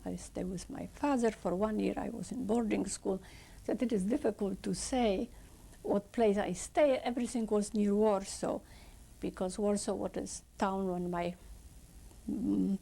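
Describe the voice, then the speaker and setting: Older Polish-accented woman, gravelly and halting. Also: medium pitch, warm tone. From Poland. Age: 70s. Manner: halting, slow, with non-native phrasing. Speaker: interviewee. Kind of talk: oral history testimony.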